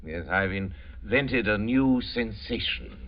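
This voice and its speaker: A very deep-voiced aristocratic hunter, courtly on the surface and menacing underneath. His delivery is grand and theatrical, in the style of forties radio drama. The sound is muffled, like an old broadcast. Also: animated, takes it slowly. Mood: grandiose, brooding. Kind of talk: 1940s radio drama acting.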